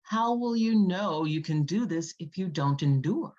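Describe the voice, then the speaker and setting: A woman's voice: on the low side, animated, unhurried, a little mellow. A woman acting a fiercely loving, fearless mother in her thirties in a script read, firm and folksy, on dull audio. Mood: teasing, firm.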